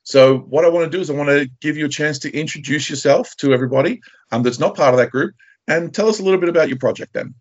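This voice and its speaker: A man's voice: a little high, fast talker. A man hosting a podcast interview in a conversational style. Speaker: podcast host. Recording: slightly dull, lo-fi audio.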